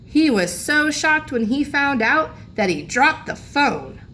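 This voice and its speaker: A woman's voice: high voice, big pitch swings, takes it slowly, sharp tone, slight background noise. A woman reading a children's storybook aloud like a teacher, expressively, lingering on key phrases for emphasis.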